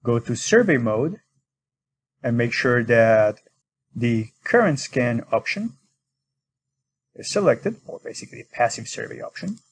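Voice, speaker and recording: A man's voice: mid-range voice, big pitch swings, a little slow, creaky, pauses often, breathy edge, rough recording. A man narrating an instructional screen recording as a product demonstrator, explaining step by step in an informal way.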